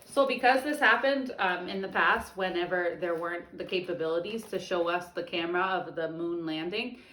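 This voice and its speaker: A woman's voice: fairly low pitch, moderate pace. A young woman teacher reading a picture book aloud to children, stopping for chatty explanations. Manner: expressive storybook reading broken up by chatty explanations. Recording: echoey room.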